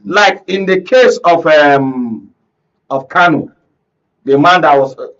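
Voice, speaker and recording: A man's voice: a little high, takes it slowly, a bit bright, lo-fi sound. A man with a Nigerian accent arguing politics as a guest commentator on a panel, emphatic and fond of rhetorical questions. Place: radio and TV studio.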